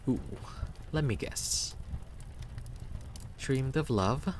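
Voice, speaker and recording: Man putting on a higher, cheeky young traveler. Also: expressive, extremely slow, a little hiss or hum. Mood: prying, playful. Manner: playful, teasing, lilting.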